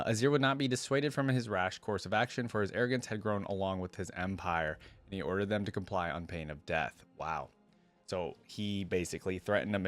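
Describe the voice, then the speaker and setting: A man's voice: lowish voice, no room sound. A man hosting a reaction video, reading lore aloud and adding casual, musing commentary. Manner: informal, musing asides.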